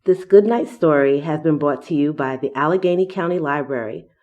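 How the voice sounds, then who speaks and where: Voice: mid-range voice, brisk, a little mellow, dull audio. A person reading scripted verse and announcements aloud. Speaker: poem reader and announcer.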